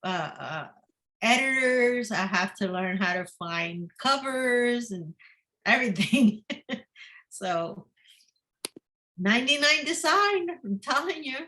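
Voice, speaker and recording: Slow-talking woman, English not her first language. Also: a little high, animated, bright, forceful tone. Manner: repeats words, restarts often. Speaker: guest author. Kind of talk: casual interview chat.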